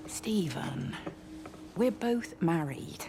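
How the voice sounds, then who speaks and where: Guarded woman, lively intonation. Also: fairly low pitch, extremely slow, noisy background. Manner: reserved, wry. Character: guarded, wistful married British woman meeting old flame. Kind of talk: naturalistic game voice acting.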